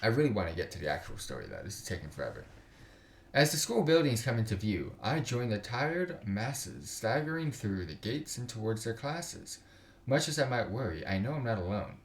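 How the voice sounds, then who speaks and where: Male game streamer, young adult, lively low voice, casual read-aloud with joking asides, roomy home recording. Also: a little fast. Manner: stumbles while reading aloud, slangy joking asides. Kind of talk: casual livestream, reading visual novel text aloud with side comments. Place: indoors at a computer.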